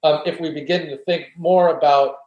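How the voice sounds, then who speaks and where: A man's voice: fairly high pitch, fairly even intonation, moderate pace, lo-fi sound. A man, a philosophy guest, earnestly explaining his argument step by step in an interview. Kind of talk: conversational interview, reflective argument. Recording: roomy echo.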